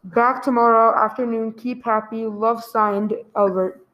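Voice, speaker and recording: Woman acting polite phone clerk lady in a radio play, a bit high, formal and matter of fact. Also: steady tone, dull audio, lightly acted. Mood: businesslike. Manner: measured, formal reading. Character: businesslike telephone clerk, female, formal and courteous.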